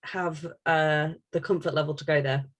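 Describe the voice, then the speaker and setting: A woman's voice: low-pitched, steady tone, moderate pace. A woman chatting casually on a podcast in a frank, teasing way as she questions someone. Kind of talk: casual chat.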